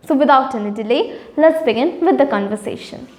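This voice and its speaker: A woman with a high voice narrates a promotional intro, bright and smoothly read. Her voice rings in a roomy space with a long reverb tail, like a hard-walled room. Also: expressive, fairly quick. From India. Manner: upbeat, smooth, evenly read. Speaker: channel host.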